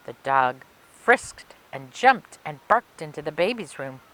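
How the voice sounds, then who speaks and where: A woman reading and explaining things for children in an expressive way, with simple, clear phrasing, like a children's librarian. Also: low-pitched, unhurried, poor audio. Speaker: children's librarian reading a story and demonstrating a craft. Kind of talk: read-aloud storytelling and craft instructions for children.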